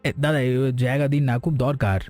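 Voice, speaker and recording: Guy doing poor honest village lad selling oil, cartoon, polite n earnest, soft mellow tone, bit of music behind. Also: medium pitch, fast talker, natural voice. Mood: pleading. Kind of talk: Bengali cartoon fairy-tale voice acting.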